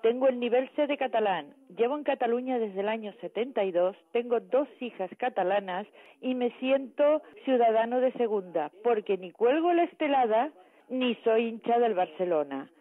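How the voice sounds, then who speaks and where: A woman's voice: a little high, animated, moderate pace. A middle-aged or older woman from Argentina, giving personal testimony as a caller on a narrow phone line. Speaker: radio listener caller.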